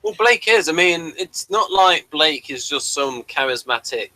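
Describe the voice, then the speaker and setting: A man's voice: fairly high pitch, animated, unhurried, bright, forceful tone. A man hosting a casual review discussion of a TV series, emphatic and given to repeating his words.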